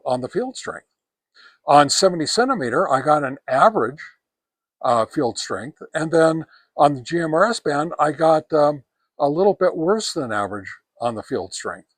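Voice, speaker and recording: Higher-voiced man, halting folksy product reviewer. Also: no room sound. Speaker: host of radio gear review channel. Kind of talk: casual product review, talking through test results. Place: outdoors on a backyard deck.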